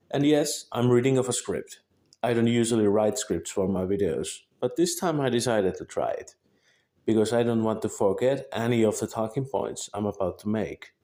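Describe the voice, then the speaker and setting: A man's voice: fairly low pitch, warm tone. A young man in his early twenties from Finland, with a Finnish accent and Finnish as his first language, reading a scripted monologue carefully and correcting small slips as he goes. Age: early 20s. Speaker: video creator.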